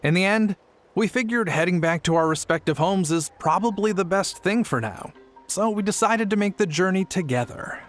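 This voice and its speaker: A man's voice: high voice, lively intonation, normal speed, fairly bright tone, soft background music. An actor playing a mild, apologetic family-man merchant in a video game, explaining things somewhat hesitantly. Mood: resigned.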